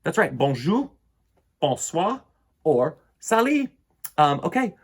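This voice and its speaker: A middle-aged man with a husky voice, hosting a children's storytime in an expressive, theatrical way. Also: on the high side, slow. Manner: theatrical, varied delivery addressed to young listeners. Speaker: children's storytime host and reader. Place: indoor room lined with bookshelves.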